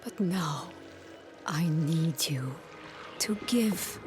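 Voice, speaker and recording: An actress playing a middle-aged mother in a video game, measured and coaxing with a controlling edge. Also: deep voice, lively intonation, exaggerated voice. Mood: coaxing tenderness. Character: tender mother who turns coldly menacing. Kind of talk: video game cinematic acting. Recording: voice over cinematic music.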